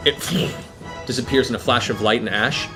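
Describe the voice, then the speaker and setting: A man's voice: medium pitch, moderate pace, over music. A man narrating a tabletop fantasy game as game master, with dramatic, descriptive storytelling.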